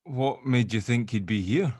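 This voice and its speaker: An actor playing a gruff, clumsy ex-convict father who is keen to please, in a drama table read. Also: medium pitch, unhurried, mellow, slight background noise, close to an everyday voice. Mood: awkward, tentative.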